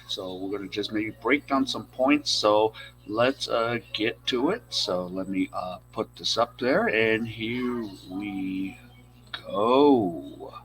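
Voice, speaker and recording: A man's voice: on the low side, slow, fairly bright tone, faint music behind, low-quality recording. A male host giving loose, casual commentary on a video. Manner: laid-back, loose with fillers.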